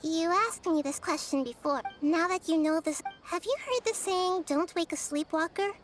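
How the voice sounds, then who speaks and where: Game character: gentle child deity, very high light voice, thoughtful and measured. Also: female voice, over music. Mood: calm, explanatory. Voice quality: light, girlish. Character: gentle, wise child-like goddess, earnest and thoughtful. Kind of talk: video game voice acting.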